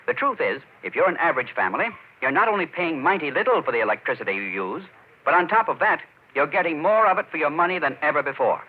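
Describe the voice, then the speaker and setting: Animated 1940s radio adman, tinny sound. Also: fairly high pitch, fast talker. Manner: folksy, persuasive salesmanship. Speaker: radio announcer. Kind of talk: 1940s radio sponsor pitch. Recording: muffled, tinny old radio recording.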